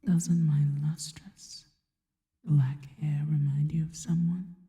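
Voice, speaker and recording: A woman playing a seductive, sinister spider-woman in an ASMR roleplay, whispering breathily and slowly with intimate, formal, adoring deliberation. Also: low-pitched, fairly even intonation, dark tone. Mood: reverent, teasing. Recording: long reverberant echo.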